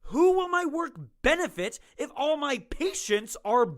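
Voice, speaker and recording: An actor playing an indignant young psychiatrist, earnest and outraged, his voice jumping high when he protests. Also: lively intonation, a little slow. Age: young adult. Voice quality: earnest, outraged, voice leaps in pitch. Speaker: doctor evaluating prisoners. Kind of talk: audio drama acting.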